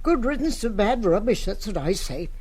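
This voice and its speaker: An actress playing a bossy, grumbling wartime nanny with an English accent in a radio drama, curt and scolding. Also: female voice, medium pitch, sing-song, normal speed, mellow, slightly rough.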